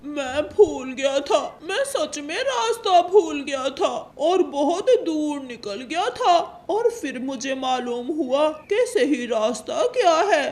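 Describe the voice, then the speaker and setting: A woman's voice: high-pitched, expressive, takes it slowly, hardly any pauses, darkish tone. A woman voicing a meek, easily hurt father crow in a Hindi cartoon story, with a plaintive delivery. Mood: tearful, remorseful.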